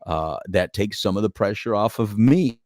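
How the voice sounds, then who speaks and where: A man's voice: fairly low pitch, animated, normal speed, a little mellow, no echo, clean studio sound. A middle-aged man with an American accent talking casually and reflectively as a podcast co-host, earnest and rambling, repeating phrases for emphasis. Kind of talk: casual reflective relationship talk.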